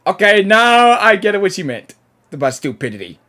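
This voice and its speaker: A woman giving excited, casual commentary as a game reaction host, in a halting, repetitive way. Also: medium pitch.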